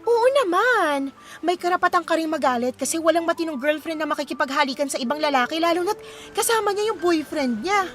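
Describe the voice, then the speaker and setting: An actress playing a caring, supportive young friend in a Filipino radio drama, expressive and sympathetic, with a Filipino accent. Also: female voice, very high-pitched, fairly quick. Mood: concerned. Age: young adult. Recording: music playing behind the voice.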